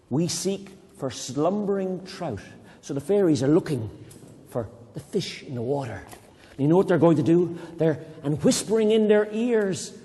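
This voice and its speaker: A man's voice: on the high side, mellow. Irish man reciting a poem in a hall. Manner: playful, slow dramatic recitation with chatty asides. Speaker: poetry reader leading children. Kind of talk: reading a poem aloud and explaining it to children. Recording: long reverb in a hall.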